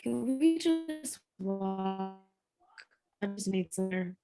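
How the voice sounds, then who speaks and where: A woman's voice: medium pitch, expressive, slow, over music. A woman acting a tired, easygoing character in a live dramatic script reading, casual and understated. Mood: weary. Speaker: actor in a screenplay table read.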